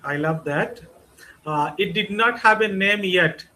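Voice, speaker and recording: A man's voice: high voice, a little slow, sharp tone. A man hosting a podcast interview, asking prepared questions. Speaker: podcast host.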